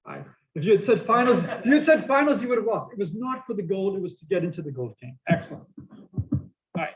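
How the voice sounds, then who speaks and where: A middle-aged male professor with a Boston accent lectures to a class in a fairly high voice. He talks energetically, drifts into asides and keeps asking his students questions. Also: big pitch swings, fast talker, low-quality recording. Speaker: math professor. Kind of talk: university lecture.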